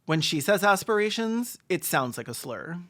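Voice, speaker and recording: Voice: medium pitch, moderate pace, slight background noise. Sarcastic, campy comedic commentator. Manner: sarcastic, snarky, camp deadpan jokes. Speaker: video essayist and commentator.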